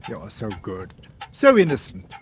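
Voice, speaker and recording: An actor playing a gentle, devoted nobleman in an old radio drama, tender and courtly. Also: fairly low pitch, huge pitch swings, a little slow. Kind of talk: 1940s radio acting. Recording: old radio broadcast with hiss.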